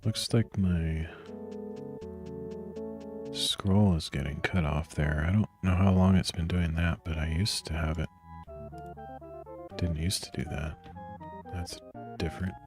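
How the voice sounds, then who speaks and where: A man with a very deep, grainy voice talks himself through a coding session in a soft, meandering murmur. He clears his throat often, and faint music plays behind him. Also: steady tone, slow, long pauses. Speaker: streamer coding live. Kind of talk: casual thinking aloud while coding.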